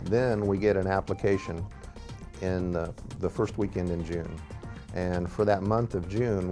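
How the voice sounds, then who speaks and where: A man's voice: low-pitched, dark tone. A man in an interview explaining a review process in a methodical, matter-of-fact way. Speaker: interviewee, quality award examiner.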